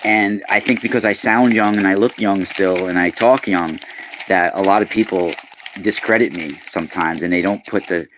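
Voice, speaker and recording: A man in his forties hosts a live unboxing stream, his voice sitting a little low yet sounding surprisingly young. He chats casually with viewers and calls them by name, breaking into bursts of excitement. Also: normal speed, a little hiss or hum, poor audio. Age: 40s. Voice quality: youthful-sounding voice.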